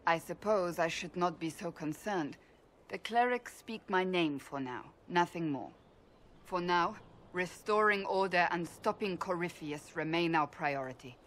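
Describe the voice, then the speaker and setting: A woman playing a stern, devout warrior in a fantasy game, speaking formally and with measured, blunt earnestness. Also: fairly low pitch, a bit bright, a little hiss or hum. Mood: resolute. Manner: formal, measured, deliberate phrasing. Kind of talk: dramatic video game dialogue.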